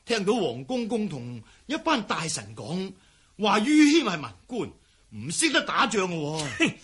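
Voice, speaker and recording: A man's voice: high-pitched, huge pitch swings, unhurried, fairly bright tone, husky, full character voice. A man playing a cowardly, self-serving fleeing palace eunuch in a historical radio drama, stammering and sighing as he talks. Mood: nervous, evasive.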